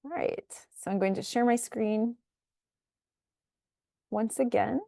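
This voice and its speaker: A woman moderating an online seminar, introducing a video in a brisk, polite way. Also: fairly high pitch, vocal fry, dark tone, dry recording, studio quality.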